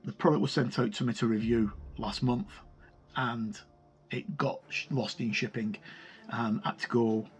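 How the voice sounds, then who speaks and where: A man giving a casual, halting review of a tabletop game product in an informal way. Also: mid-range voice, fairly even intonation. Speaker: video reviewer. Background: music.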